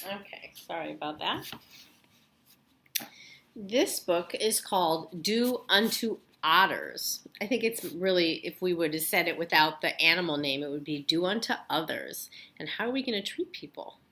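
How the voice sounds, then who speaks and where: A woman's voice: fairly low pitch, expressive, normal speed, sharp tone. A woman teacher casually leading a children's read-aloud lesson, explaining and checking in with questions. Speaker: elementary school teacher.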